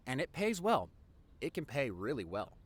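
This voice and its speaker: Casting: male actor as devoted nerdy young boyfriend for audio roleplay; mid-range voice, lively intonation, earnest and teasing. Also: mid-range voice, moderate pace, slightly rough. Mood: earnest, admiring.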